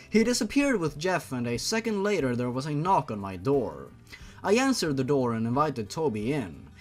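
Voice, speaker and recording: Casting: male fan fiction reader, very animated, fairly high voice, theatrical and mocking. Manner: theatrical, sweary, incredulous asides. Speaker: host, reader. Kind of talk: mocking dramatic reading of fan fiction with commentary.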